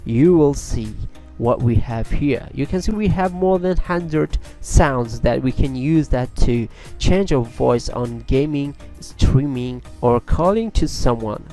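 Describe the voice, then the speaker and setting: A man hosting a casual software tutorial as a voice-over on a USB microphone. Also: mid-range voice. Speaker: tutorial video host.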